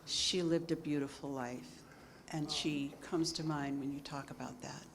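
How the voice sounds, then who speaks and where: A woman in the audience gently offers a remark from away from the mic. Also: sits low, steady tone, unhurried. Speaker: audience member. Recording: away from the mic, crowd behind.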